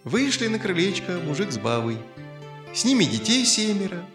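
A man tells a fairy tale to children in expressive narration. His voice is fairly high and swings widely in pitch. There is echo on it and light music behind. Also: normal speed. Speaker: storyteller.

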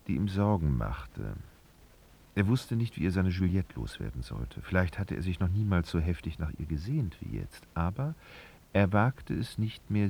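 A man narrating a literary radio drama in a husky voice, with dramatic, sardonic storytelling. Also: low-pitched, darkish tone, exaggerated voice.

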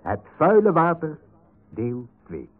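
Swooping, theatrical radio reporter. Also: male voice, fairly high pitch, wildly swooping pitch, slow, soft background music, full character voice. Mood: melodramatic. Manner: melodramatic, emphatic. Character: theatrical male roving reporter narrating a comic radio serial. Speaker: narrator and reporter. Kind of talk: scripted radio serial narration. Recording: noisy, narrow-band old radio recording.

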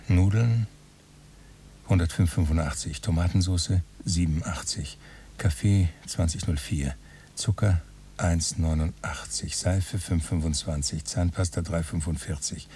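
Radio drama: methodical, obsessive male writer, deep mellow mutter, gravelly, faint noise. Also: distant mic. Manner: self-questioning, fussy, methodical. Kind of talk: German radio play interior monologue.

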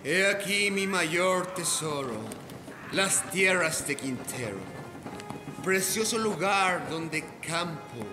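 A man's voice: high-pitched, lively intonation, slow pace. A man playing a proud, menacing old ghost pirate captain in a radio drama, grave and theatrical. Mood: solemn, reverent. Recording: music behind. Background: music.

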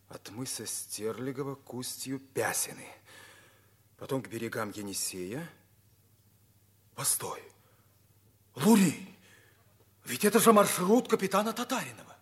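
An actor playing an ardent, commanding young pilot captain with a husky voice in a 1950s radio play. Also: male voice, medium pitch, lively intonation, moderate pace, pauses often, a bit bright. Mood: commanding, urgent.

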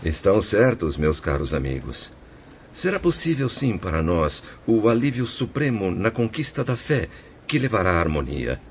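An actor playing a remorseful, resigned spirit of a ruined merchant in a solemn, declamatory religious radio drama. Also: male voice, on the low side, very animated, moderate pace. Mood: sorrowful, resigned. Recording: dull lo-fi audio with faint noise.

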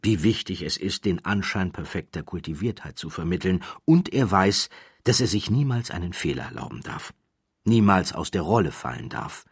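A deep-voiced man reads a scripted story as an audiobook narrator. His tone is husky, his phrasing deliberate with theatrical emphasis. It is recorded close and very dry. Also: lively intonation, no echo. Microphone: close studio microphone, dry.

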